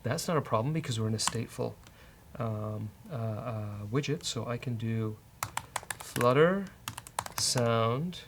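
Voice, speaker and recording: A man's voice: medium pitch, unhurried, noisy background. A man hosting a casual programming walkthrough, thinking aloud in a wry, hesitant way. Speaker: host, programming instructor. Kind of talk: casual live-coding walkthrough.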